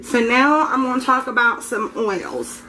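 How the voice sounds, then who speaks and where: A woman's voice: on the high side, expressive, unhurried, sharp tone. A woman with a congested, scratchy voice chatting casually in her home kitchen, talking informally and correcting herself as she goes. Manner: chatty, informal, a bit unsure, self-correcting. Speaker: home soap maker. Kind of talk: casual show-and-tell vlog.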